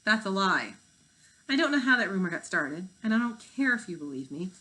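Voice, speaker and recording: A woman's voice: medium pitch, very animated, a little fast, bright, forceful tone, low-quality recording. A woman reading the part of a defensive, cocky fifth-grade boy with an offhand manner.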